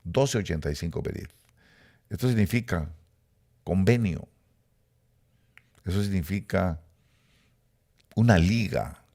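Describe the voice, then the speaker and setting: A middle-aged man from Rica, speaking, preaches in a very deep register with a gravelly rasp. He climbs and swells on the words he stresses, then sinks back into soft low asides. His pace shifts often as he teaches. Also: animated, takes it slowly, long pauses. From Costa Rica. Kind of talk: sermon teaching.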